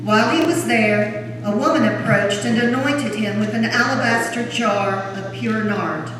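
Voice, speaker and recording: A solemn female narrator, read by a woman tells a scripture story formally. Her fairly high voice comes from far off in a long-reverb church hall, with noise around it. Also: a little slow, lightly acted. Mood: solemn narration. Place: large church during a service. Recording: very echoey church hall, distant mic, noisy.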